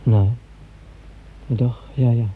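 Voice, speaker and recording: An actor playing a flustered, dreamy young poet in a radio drama, speaking in halting, hesitant phrases. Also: male voice, medium pitch, fairly even intonation. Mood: startled, confused. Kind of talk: 1950s German radio drama acting. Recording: muffled noisy old radio recording.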